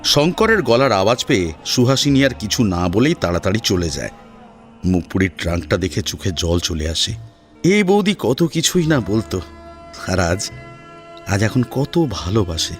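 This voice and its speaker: A man giving expressive scripted narration of a story as its narrator. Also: on the low side, moderate pace, faint music behind.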